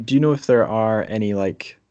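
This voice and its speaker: A man's voice: fairly low pitch, brisk, dark tone, no echo, muffled recording. A man hosting a podcast interview in a casual, tentative, informal way.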